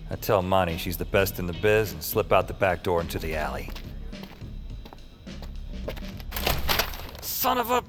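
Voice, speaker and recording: A man's voice: sits low, huge pitch swings, brisk, over music. An actor playing a world-weary, wisecracking drinker who narrates his own nights in an audio drama, with a sardonic, wry delivery. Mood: exasperated. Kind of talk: first-person audio drama narration and dialogue.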